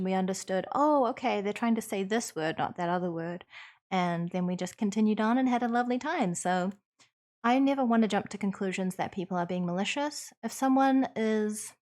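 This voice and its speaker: A woman livestream host with a New Zealand accent, talking to her chat in an earnest, measured and thoughtful conversational way. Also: medium pitch, darkish tone. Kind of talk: conversational livestream Q&A, partly reading chat aloud.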